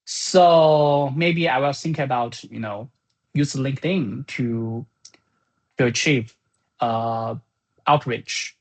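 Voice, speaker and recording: A slow-talking speaker with a husky, slightly rough voice at a medium pitch. The delivery is informal and halting, like someone thinking aloud while going through meeting notes on a project. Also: poor audio. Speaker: software creator presenting business plan.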